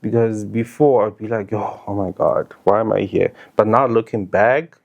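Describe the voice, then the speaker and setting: A woman's voice: a bass voice, fairly even intonation, moderate pace, creaky, a little mellow, dull audio. A woman with a South African accent hosting a casual chat, blunt and slangy in her questions and interjections. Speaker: podcast host.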